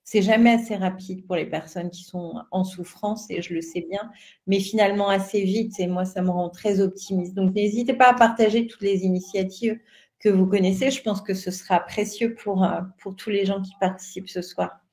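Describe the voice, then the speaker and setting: A woman from northern France talks on a webinar panel at a middle pitch. She explains patiently and earnestly, stopping often to find her words. It is an everyday, unhurried voice. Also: fairly even intonation, warm tone. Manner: earnest and explanatory, with frequent hesitations. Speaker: panelist, self-employed business owner.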